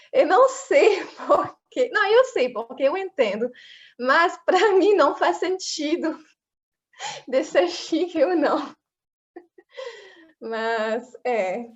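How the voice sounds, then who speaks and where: Slow, halting French woman speaking Portuguese. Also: high-pitched, expressive. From France. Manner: halting, hesitant, giggly. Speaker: interview guest. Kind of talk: casual interview chat. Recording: dull lo-fi audio.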